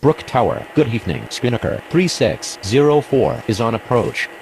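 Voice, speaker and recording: A man's voice: medium pitch, animated, normal speed, darkish tone, rough recording. A man playing a businesslike pilot on airport radio, speaking scripted phraseology. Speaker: air traffic radio voice. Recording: clear vehicle-like ambient noise.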